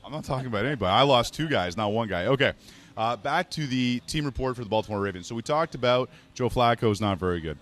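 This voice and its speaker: A man from Montreal hosts a fantasy sports radio show. He talks briskly and jokes along the way, and his voice is mid-pitched. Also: expressive, a bit bright. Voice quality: joking, brisk. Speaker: podcast host. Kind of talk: casual sports radio chat.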